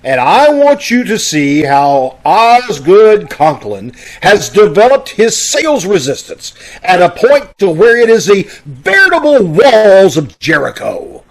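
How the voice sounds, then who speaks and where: A pompous school principal from a radio sitcom, played by a man. His voice sits fairly high and swings widely up and down. He blusters and splutters, a self-important figure who is easily flustered. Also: huge pitch swings, measured pace, few pauses, full character voice, rough recording. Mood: pompous, indignant. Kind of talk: old-time radio sitcom acting. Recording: amateur video-call radio play recording.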